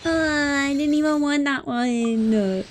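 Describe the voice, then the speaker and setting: A woman's voice: high-pitched, slow pace, a little hiss or hum. A woman livestreaming a game, chatting casually and playfully with her viewers, repeating words and drawing them out. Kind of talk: casual gaming stream chat with viewers.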